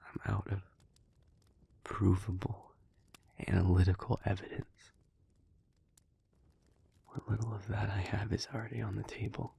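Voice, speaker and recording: A man playing an earnest, analytical demon in an intimate audio roleplay, speaking in a hushed, breathy, measured and deliberate way. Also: on the low side, little pitch movement, slight background noise. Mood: pleading, tender. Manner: measured, deliberate, halting, formal wording. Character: earnest, analytical male demon, gently devoted and restrained.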